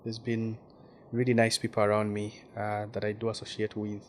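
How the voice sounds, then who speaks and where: A man's voice: lowish voice, completely flat delivery, normal speed, darkish tone, a little hiss or hum. A man from Western Uganda with a Ugandan accent, speaking as a guest journalist in a casual interview and often repeating words while he searches for them.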